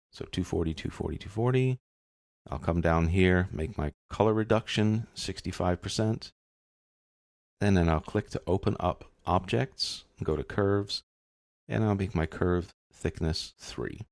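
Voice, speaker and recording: A man narrating a software tutorial as an instructor, explaining step by step in a casual way. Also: deep voice, gravelly, no echo, clean studio sound.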